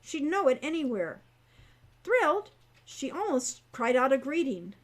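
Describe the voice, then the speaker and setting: A woman reading her own young adult fantasy novel aloud as expressive storybook narration. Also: sits high, a little slow.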